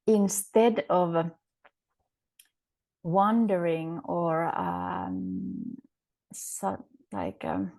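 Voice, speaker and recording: A woman's voice: on the low side, creaky, a little mellow. A woman with a Finnish accent, a podcast guest speaking thoughtfully, often searching for words with fillers and restarts. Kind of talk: reflective interview conversation.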